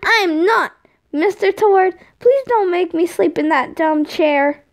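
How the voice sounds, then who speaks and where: Woman putting on a high, whiny little boy. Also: a little slow, darkish tone. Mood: pleading, defiant. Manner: whining, pleading, childish.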